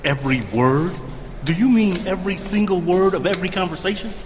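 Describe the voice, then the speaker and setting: A man's voice: high-pitched, brisk, a little hiss or hum, lightly acted. A man playing an anxious, self-doubting former mayor awaiting judgment in an audio drama, earnest and often stammering. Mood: shocked, pleading. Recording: long hall reverb with clear music behind.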